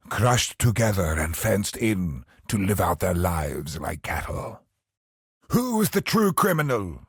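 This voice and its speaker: An actor playing an ancient, brooding godlike villain in a video game, speaking in grim, theatrical monologue with a husky, breathy voice and hushed phrase endings. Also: male voice, on the low side, dry recording, studio quality, exaggerated voice. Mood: grim, disgusted.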